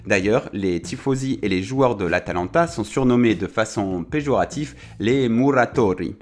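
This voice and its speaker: A man's voice: fairly low pitch, moderate pace. A man hosting a casual football video, talking in a chatty way with many fillers and self-corrections.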